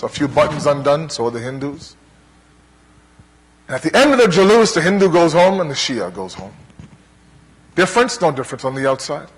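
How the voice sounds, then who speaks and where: High-voiced, husky Canadian preacher in a hall. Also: male voice, fairly bright tone. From Toronto, Canada. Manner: earnest, rhetorical, deliberate parallel phrasing with pauses. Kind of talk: religious lecture to a congregation. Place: large hall, speaking to a gathering. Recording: long hall reverb.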